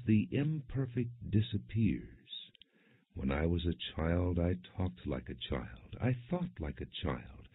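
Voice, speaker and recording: A low, rough-edged male narrator reads from the Bible in measured, deliberate phrases. The delivery is scripted and undramatized. The sound is dry, with no sense of a room around the voice. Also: dry recording. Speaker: audiobook narrator. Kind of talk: scripted Bible reading, non-dramatized. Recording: muffled, narrow phone-like sound.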